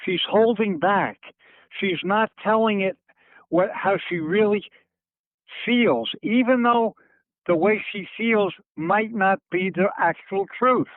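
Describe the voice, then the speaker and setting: An older man speaking reflectively in a phone interview, halting and circling back as he searches for words, heard over a narrowband telephone line. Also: high-pitched, dry recording. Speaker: interviewee recalling family history. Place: on a telephone call. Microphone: telephone handset.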